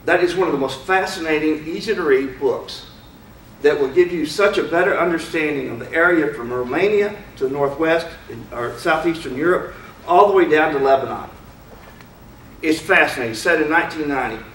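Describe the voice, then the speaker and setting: A man with a fairly high voice gives a loose, opinionated lecture and keeps throwing rhetorical questions at the room. He is picked up from a distance, so his voice carries the echo of a large lecture room. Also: a little fast, a bit bright, a little hiss or hum. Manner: conversational, asks many rhetorical questions; opinionated, speaks frankly. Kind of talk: informal lecture to an audience. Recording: roomy hall, mic far off.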